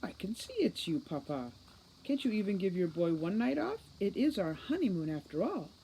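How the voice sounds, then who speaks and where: A woman's voice: mid-range voice, sing-song, normal speed, dark tone, voice pushed up, low-quality recording. A woman voicing a giddy, deluded mother who acts like a honeymooning bride in a storybook, airy and playful. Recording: faint background noise.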